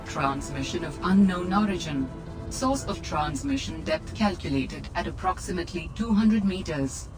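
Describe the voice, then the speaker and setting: A woman voicing a calm computer assistant in a video game, giving survival alerts in measured, impersonal, clipped announcements. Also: fairly low pitch, music in the background, close to an everyday voice. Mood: neutral, informative. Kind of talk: scripted game system notifications.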